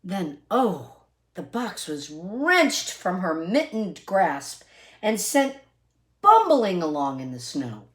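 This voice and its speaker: A woman reading a children's picture book aloud expressively, deliberate and emphatic, with asides to listeners. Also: lowish voice, sing-song. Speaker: read-aloud storyteller.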